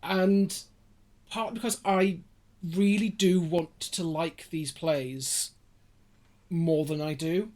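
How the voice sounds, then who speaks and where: A man's voice: fairly high pitch, steady tone, slow pace, pauses often, a little mellow. A man chatting casually as a podcast guest, rambling and often interrupting himself with asides. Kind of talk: casual chat.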